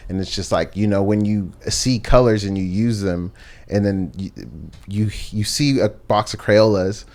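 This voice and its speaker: A man's voice: on the low side, steady tone, normal speed, darkish tone. A young man from the United States, a podcast guest talking through his thoughts in a reflective, rambling way, full of fillers and restarts. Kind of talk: casual conversation.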